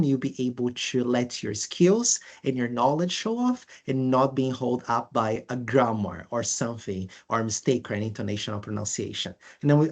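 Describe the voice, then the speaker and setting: A presenter from Brazil with a Brazilian accent, walking through course details in a webinar, speaking with frequent uhs and restarts. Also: medium pitch. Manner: halting, many filler uhs and restarts. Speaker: language school presenter.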